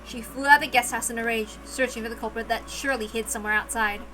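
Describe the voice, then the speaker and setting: A woman's voice: fairly high pitch, fairly even intonation, quick pace, sharp tone, poor audio. A woman reading a visual novel aloud as dramatic scripted narration. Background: music.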